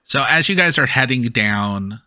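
Bright-voiced man running a tabletop game. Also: medium pitch, animated, moderate pace, dry recording. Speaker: game master. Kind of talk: casual tabletop game narration.

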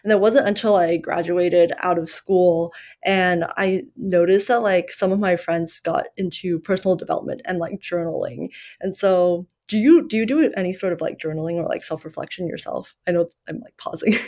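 Podcast host woman from China, fairly low voice, reflective and rambling, lots of fillers. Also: normal speed, darkish tone. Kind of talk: casual podcast conversation.

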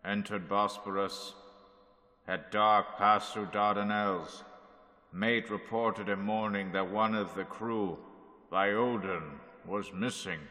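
A slow, low-voiced sea captain, played by a man recites his log in clipped, weary entries. His bright tone rings with a long hall reverb, like an old radio play. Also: steady tone. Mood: grim. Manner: clipped log-entry delivery. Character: weary ship captain keeping a grim log. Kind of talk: 1930s radio drama reenactment.

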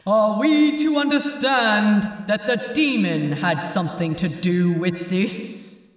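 A woman's voice: medium pitch, takes it slowly, lightly acted. Woman voicing an echoing, icy, commanding seraph. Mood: brusque, cold. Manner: formal, commanding. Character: brusque, icy heavenly seraph, voice of authority. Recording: echoey hall reverb, far off.

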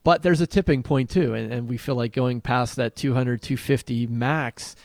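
A man's voice: mid-range voice, normal speed, vocal fry, darkish tone, no echo. A man co-hosting a podcast in casual conversation, informal and thinking aloud as he rephrases his points. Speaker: podcast co-host.

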